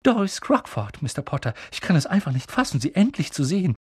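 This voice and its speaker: A man's voice: on the high side, very animated, brisk, slightly rough, no room sound, studio quality, heavily acted. A man voicing a proud, starstruck woman in an audiobook, effusive and breathless. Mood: starstruck, thrilled. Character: gushing, proud, starstruck woman pub patron. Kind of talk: audiobook character voice.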